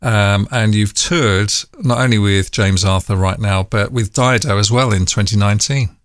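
A man with a North London accent hosting a casual interview, speaking in a friendly, halting way with many ums. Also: fairly low pitch, dry recording, clean studio sound. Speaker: podcast host.

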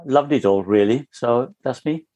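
A man in his seventies, born in East Africa, speaking in a relaxed, hesitant way as a podcast guest. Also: mid-range voice, normal speed. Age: 70s.

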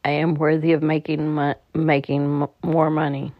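A meditation guide speaking in a calm, slightly halting way, leading a slow guided relaxation. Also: mid-range voice, no room sound. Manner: calm, halting delivery with stumbles. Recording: dull, muffled lo-fi recording.

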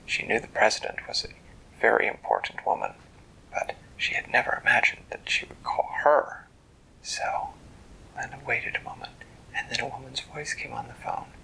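Parent whispering a bedtime story, raspy and gravelly, kinda bright. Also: medium pitch, wildly swooping pitch, rough recording. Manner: hushed, gentle, unhurried narration.

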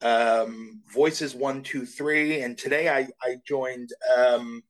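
A man, a voice actor, talking casually in an interview, modest and halting, with many restarts and fillers. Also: mid-range voice, fairly even intonation, rough recording. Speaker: voice actor guest.

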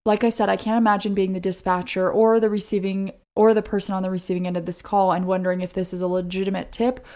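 A woman's voice: medium pitch, flat delivery, quick pace, warm tone. A woman co-hosting a podcast, telling a true-crime story in a conversational way. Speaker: podcast co-host.

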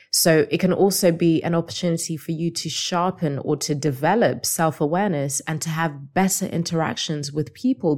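A woman hosting a casual solo podcast as a coach, her voice slightly raspy, asking the listener reflective questions. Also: low voice.

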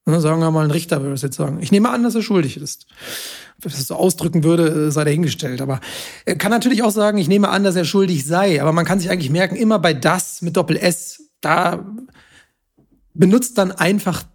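A man's voice: a little high, fast. A man speaking German natively, explaining casually as a host, pedantic yet chatty. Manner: self-confessed language nerd, pedantic yet chatty. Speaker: podcast host explaining grammar.

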